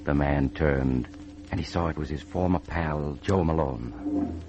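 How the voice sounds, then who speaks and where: An actor as the grave narrator of an old-time radio horror play, telling the eerie tale in a measured, dramatic way. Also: male voice, very deep voice, darkish tone, muffled recording, slight background noise. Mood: ominous. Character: grave, measured male teller of eerie tales.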